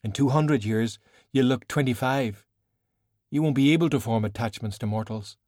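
Audiobook role: elegant, cool veteran sorceress, acted by a man. Formal, measured, admonishing, dry studio sound. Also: medium pitch, darkish tone, no echo, lightly acted. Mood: grave, cool. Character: worldly, composed, cool woman sorcerer, long-lived and stern.

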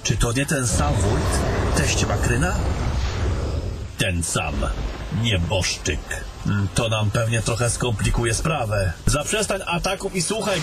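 A man's voice: fairly high pitch, background noise. A man playing a travelling adventurer in a fantasy audio drama. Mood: matter-of-fact, then commanding. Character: fantasy adventurers, gruff travelling men and a mage.

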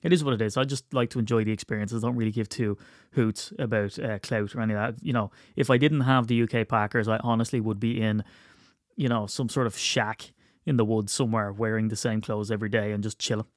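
A man's voice: lowish voice, fairly even intonation, quick pace, no room sound. Male podcast host, stuffy cold, rambling self-mocking chat, dry close mic. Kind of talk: casual solo podcast chat.

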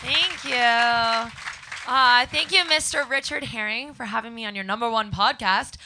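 A woman's voice: a little high, measured pace. Scratchy-voiced Canadian woman doing stand-up. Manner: deadpan one-liners to a crowd. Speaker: stand-up comedian guest. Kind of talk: stand-up set on a live podcast.

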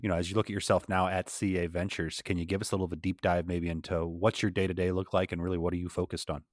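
A man co-hosting a business podcast, chatting in a relaxed way with some fillers. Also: low voice, very fast, dry recording, clean studio sound. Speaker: podcast co-host. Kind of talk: casual podcast chat.

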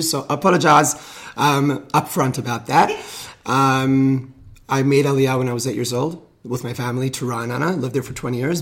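Upbeat man in his thirties from South Africa. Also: mid-range voice. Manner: enthusiastic, upbeat, quick to agree. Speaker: DJ guest. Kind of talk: casual interview chat.

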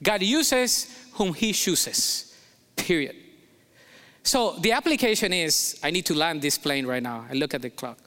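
A man's voice: a little high, expressive, a little slow, bright, forceful tone, in a large hall. A pastor from Colombia preaching a sermon in English with a Colombian Spanish accent, emphatic and repeating his key phrases.

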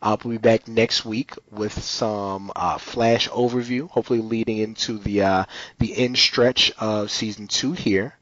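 Man, low voice, casual podcast chat, informal slang, dull lo-fi sound. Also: fairly even intonation, unhurried, fairly bright tone, no room sound. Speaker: podcast host.

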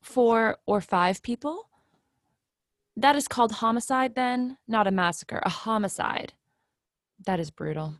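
A woman acting in a play reading as a pedantic, dramatic middle-aged office clerk, deliberate and emphatic. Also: a little high, no room sound, studio quality, lightly acted. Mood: disbelieving. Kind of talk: readers' theatre play reading.

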